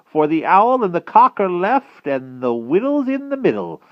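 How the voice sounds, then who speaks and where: A gloating old storybook witch, played by a man, high and swooping wildly in pitch. She coos with mock affection and gleeful cruelty, theatrical in every phrase. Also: sing-song, normal speed, no echo, lo-fi sound. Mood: gloating chant. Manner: theatrical cooing, gleefully menacing.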